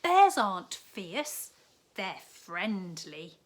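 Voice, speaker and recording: A woman's voice: mid-range voice, very animated, extremely slow. A woman voicing a stubborn, cheerful little girl from a picture book, in an emphatic, sing-song way. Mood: cheerful, confident.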